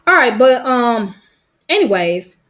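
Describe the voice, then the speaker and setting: A woman's voice: a little high, big pitch swings, slow pace, fairly bright tone. A woman from Georgia with a strong Georgia Southern accent, talking loosely to camera in a casual vlog, her voice a little worn, full of "you know what I'm saying" fillers. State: voice slightly worn. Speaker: vlogger. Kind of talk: casual rambling monologue.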